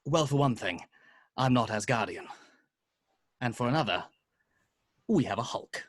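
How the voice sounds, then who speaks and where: A man acting a glib, wry talker in an amateur table read, with a husky voice. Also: medium pitch, lively intonation, measured pace. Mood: sly, smug. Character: glib, talkative men: sly trickster, wisecracking hero.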